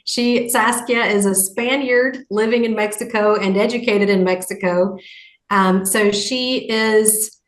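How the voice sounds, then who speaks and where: A woman's voice: mid-range voice, fairly even intonation, unhurried, reverberant. A woman on a translation panel, explaining her work in an earnest way. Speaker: translation agency guest. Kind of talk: casual panel discussion.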